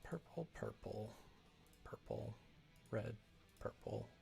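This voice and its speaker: A man's voice: lowish voice, slow pace, vocal fry, mellow, soft background music. A man livestreaming casually as he works, chatting and muttering to himself while he concentrates. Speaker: live streamer. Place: indoors at a computer.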